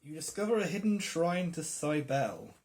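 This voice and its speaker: A man's voice: on the high side, big pitch swings, moderate pace, darkish tone. A man reading a game card aloud and talking through his turn with friends. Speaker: player. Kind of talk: casual board game chat.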